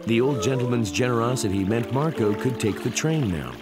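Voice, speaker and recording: A man's voice: medium pitch, fairly quick. A man narrating a scripted children's story. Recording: prominent music behind the voice.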